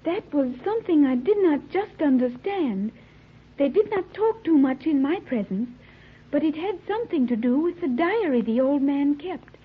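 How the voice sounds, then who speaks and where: A high, expressive voice of an earnest young island girl. She speaks formally and literally, with careful, stilted diction. It sounds like a tinny, narrow-band radio serial from long ago. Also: normal speed. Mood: grave, explaining. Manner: stilted, precise, formal diction without slang. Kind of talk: old-time radio serial acting. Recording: tinny, band-limited old radio recording.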